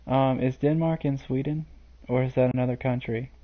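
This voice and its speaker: A man's voice: medium pitch, steady tone, normal speed, highs cut off. Rambling man streaming a game. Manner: rambling and hesitant, many fillers. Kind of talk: live gameplay commentary.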